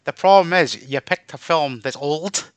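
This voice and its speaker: A man's voice: on the high side, a little slow, clean tone, no room sound. A man co-hosting a podcast in casual chat, rambling and poking fun at himself with the odd swear. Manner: rambling, self-mocking, swears. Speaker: podcast co-host.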